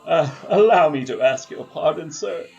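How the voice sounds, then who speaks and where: An actor playing an exuberant, reformed old miser in a theatrical family radio drama, exclamatory and effusive. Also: male voice, on the high side, animated, moderate pace, vocal fry, darkish tone, dull audio, soft background music. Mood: warm, eager. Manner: exclamatory, effusive, chuckling, repeats himself. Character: old miser reborn as giddy, exuberant, warmhearted gentleman.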